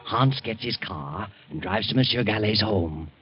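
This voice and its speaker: A man's voice: fairly low pitch, animated, a little slow, muffled recording. A man narrating a suspense drama in an ominous, portentous old-time radio style. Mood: probing, grave. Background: dramatic music.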